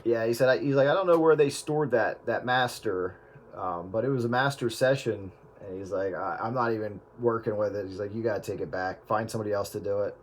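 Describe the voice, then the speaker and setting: A man chatting casually on a radio talk show, telling an anecdote in a relaxed, conversational way. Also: fairly low pitch, fairly quick, heavy background noise. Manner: anecdotal storyteller.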